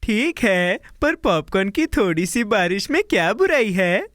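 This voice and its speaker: A woman's voice: medium pitch, measured pace. A woman voicing a cheerful, impulsive, kind-hearted young boy in a playful, childlike way for a cartoon dub. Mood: excited. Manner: playful, expressive, childlike delivery. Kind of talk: cartoon dubbing. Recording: music bed under the voice.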